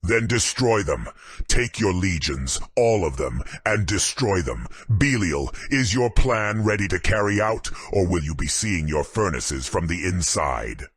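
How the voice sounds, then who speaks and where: A man voicing Satan as an enraged, paranoid tyrant demon king with a gravelly voice, speaking slowly and imperiously in an audiobook. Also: sing-song, a bit bright, no echo, clean studio sound, exaggerated voice. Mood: commanding. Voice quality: very deep, gravelly, husky. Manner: slow, imperious, threatening.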